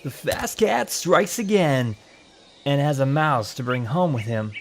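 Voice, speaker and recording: A cocky young robot cheetah played by a man in a radio play, boastful and bouncy in pitch. The voice has a creaky edge, with faint noise on the recording. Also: very animated, measured pace. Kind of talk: audio drama character acting.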